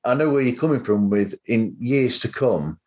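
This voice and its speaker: A man hosting a podcast in a casual, conversational way. His voice sits at a middle pitch, and he tends to ramble through anecdotes as he makes his point. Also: expressive, moderate pace, a little mellow. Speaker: podcast host.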